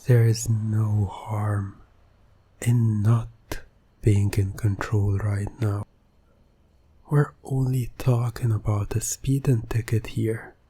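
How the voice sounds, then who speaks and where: Coaxing male hypnotist, played by a man, ASMR roleplay: soft, dark-toned, unhurried, persuasive and soothing. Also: on the low side, fairly even intonation. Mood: hushed, coaxing. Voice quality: soft, breathy. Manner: soothing, persuasive, deliberate, often dropping to a whisper. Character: smooth-talking, coaxing male hypnotist playing innocent motorist.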